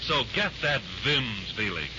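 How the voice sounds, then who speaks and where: Punchy vintage radio pitchman with swooping intonation. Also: sing-song, measured pace. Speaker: commercial announcer. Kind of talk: 1940s radio advertisement. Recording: muffled, hissy 1940s radio broadcast.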